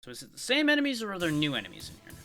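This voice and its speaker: A man's voice: sits high, wildly swooping pitch, racing. A man giving casual live gameplay commentary as a streamer, thinking aloud and explaining things conversationally. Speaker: video game livestreamer. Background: video game music.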